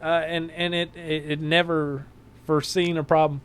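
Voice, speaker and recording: Friendly Southern man, smooth voice. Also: on the high side, level tone, normal speed, fairly bright tone. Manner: friendly storytelling with many restarts. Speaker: guest, car salesman. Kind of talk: casual podcast chat. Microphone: home podcast mic, moves off-mic.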